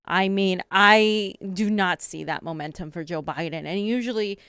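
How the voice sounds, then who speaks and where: A woman's voice: mid-range voice, moderate pace, a bit bright, clean tone, dry recording, clean studio sound. A woman from Central Florida co-hosting a live political stream, talking casually with lots of filler words. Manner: casual, lots of "like", blunt opinions. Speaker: co-host.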